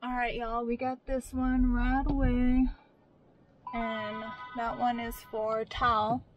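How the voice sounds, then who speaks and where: Young woman talking to the camera from her car, kinda high voice, music going in the background. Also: flat delivery, slow pace, dull audio. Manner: chatty, talks to viewers, brightens when greeting staff. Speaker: delivery driver vlogger. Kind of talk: casual vlog chat. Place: inside a car. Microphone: camera on the dashboard.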